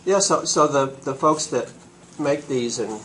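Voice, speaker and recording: Medium-pitched man asking halting questions. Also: animated, fairly bright tone, slight background noise. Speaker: meetup attendee asking a question. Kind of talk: casual group discussion.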